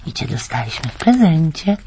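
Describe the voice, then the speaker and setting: An actress playing a gentle, fond mother in a radio play, explaining things softly to her young son. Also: low voice, very animated, moderate pace, slight background noise. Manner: gentle, fond, soft chuckles.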